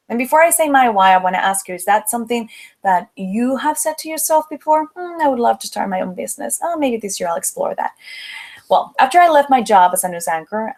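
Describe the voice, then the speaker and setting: A young woman from the Dominican Republic with a Dominican Spanish accent, leading a motivational webinar in an upbeat, chatty way. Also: on the high side. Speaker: webinar host, planner business owner. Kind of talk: live webinar presentation.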